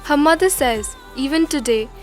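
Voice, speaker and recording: High-pitched woman narrator. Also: normal speed, soft background music. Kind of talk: scripted documentary narration.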